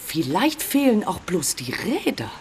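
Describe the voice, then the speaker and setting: A woman's voice: on the low side, big pitch swings, a little slow, darkish tone, a little hiss or hum. An actress playing a chatty, curious, down-to-earth small-town woman in a German radio play, with a teasing manner. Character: chatty female small-town local, curious and matter-of-fact.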